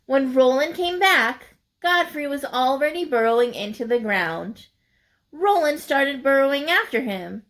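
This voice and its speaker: Slow, higher-voiced woman reading a picture book. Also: animated, fairly bright tone. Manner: deliberate, emphatic, addressing young listeners. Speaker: children's read-aloud storyteller. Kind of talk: expressive picture-book narration.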